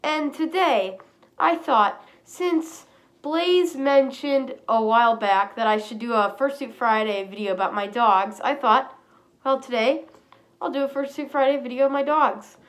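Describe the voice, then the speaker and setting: A woman with a stuffy nose from a cold, chatting casually and rambling to camera from a small room. She often clears her throat. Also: high voice, a little slow. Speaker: fursuit vlogger.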